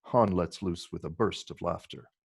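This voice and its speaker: A man's voice: sits low, moderate pace, vocal fry, breathy edge. A man narrating stage directions in a scripted reading.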